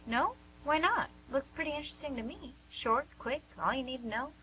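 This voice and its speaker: A woman's voice: high voice, expressive, moderate pace, bright, forceful tone. A woman playing a cheerful, probing, slightly fumbling nurse in a radio drama, speaking in a coaxing, conversational way. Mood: upbeat, probing. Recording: noisy amateur recording with faint hum.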